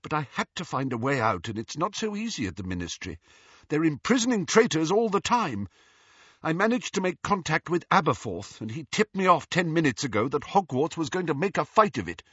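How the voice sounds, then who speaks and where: An awkward, earnest young man with a touch of pomposity as a male narrator plays him. He splutters in a raised, forceful voice with a husky edge. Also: on the high side, sing-song, fairly quick, no room sound, dull audio, pitched above the performer's own voice, heavily acted. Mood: contrite, explaining.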